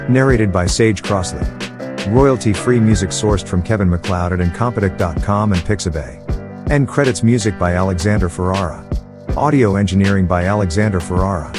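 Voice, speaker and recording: Male announcer, lowish voice, scripted credits read with music behind.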